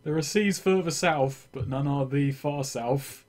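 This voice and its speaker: A man's voice: a little high, unhurried, darkish tone. A man playing in a tabletop game, chatting casually and making wry jokes. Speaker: player.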